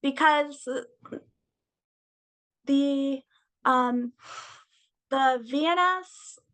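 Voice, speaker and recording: A young woman talking frankly in a casual interview, swearing freely, with a slightly raised, raspy voice. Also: sits high, drawn-out words, fairly bright tone, poor audio. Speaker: podcast guest.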